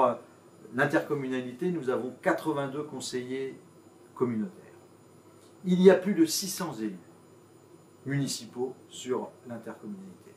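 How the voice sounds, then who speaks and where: A man gives a formal public address as a mayor, in measured, emphatic phrases broken by pauses and hesitations. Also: mid-range voice, darkish tone, slight background noise. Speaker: mayor and intercommunal president. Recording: roomy, distant microphone.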